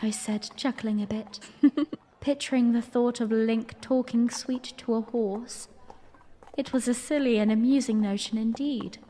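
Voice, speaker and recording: A woman playing a young princess who narrates her memories in an audio drama, ladylike, playful and fond, with a measured manner. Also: a little high, fairly even intonation, dark tone, in a large hall. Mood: amused, fond. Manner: measured, ladylike, lightly amused.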